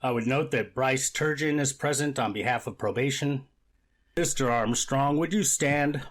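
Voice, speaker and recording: A man playing a formal judge, speaking in a measured, procedural manner in a courtroom reenactment. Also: medium pitch, natural voice. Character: formal, methodical male judge presiding over a hearing. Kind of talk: readers theater courtroom reenactment.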